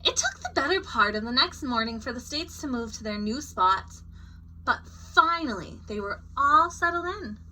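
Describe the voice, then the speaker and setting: A woman's voice: sits high, moderate pace, a little hiss or hum, rough recording. A woman reading a picture book aloud as a storyteller, expressively and with informal asides. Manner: expressive, acting out short character quotes.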